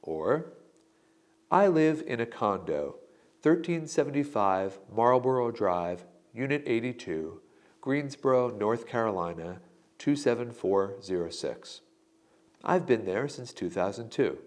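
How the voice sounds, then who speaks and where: A man giving slow, deliberate sample answers in a scripted lesson. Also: mid-range voice, animated. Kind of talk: scripted instructional narration.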